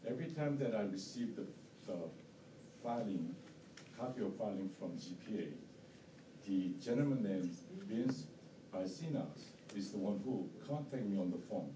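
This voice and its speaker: A man who is not a native English speaker, with a strong accent, giving a formal statement at a hearing in halting, repetitive phrases. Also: fairly low pitch, animated. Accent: strong non-native. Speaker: bidder presenting an appeal at a hearing. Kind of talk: formal statement, partly read aloud. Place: indoor hearing room. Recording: roomy, distant mic, faint noise.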